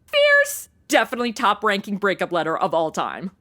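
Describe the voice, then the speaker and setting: A woman's voice: medium pitch, huge pitch swings, normal speed, sharp tone. A woman with an American accent playing a sassy, slangy modern young woman, sarcastic and brash, in a comedy audio drama. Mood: gleeful, teasing.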